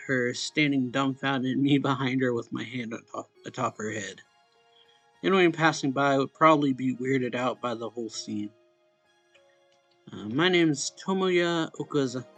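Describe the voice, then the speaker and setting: Guy doing a visual novel lets play, reading out loud kinda haltingly, creaky voice, bit of music in the back. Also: mid-range voice. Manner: halting delivery, stumbling and rereading lines. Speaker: let's play host.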